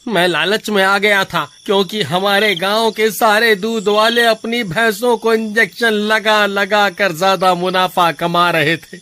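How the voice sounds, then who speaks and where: Greedy cowardly cartoon milkman, acted by a man: high-pitched, sharp, whiny melodrama. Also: a little hiss or hum. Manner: whiny, exclaiming, pleading. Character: greedy, cowardly village milkman, scolding then begging. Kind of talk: melodramatic cartoon story acting.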